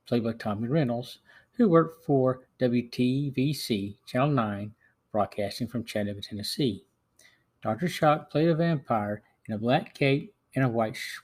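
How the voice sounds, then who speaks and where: A man's voice: mid-range voice, darkish tone, slightly rough. A middle-aged or older man hosting a podcast, reading a scripted film review with the occasional stumble. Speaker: podcast host.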